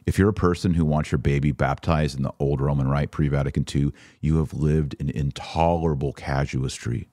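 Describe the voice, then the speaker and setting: A man's voice: very deep voice, steady tone, brisk, hardly any pauses, mellow, no echo, clean studio sound. A man hosting a podcast, reading documents aloud and commenting on them with sarcasm and emphasis. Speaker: podcast host.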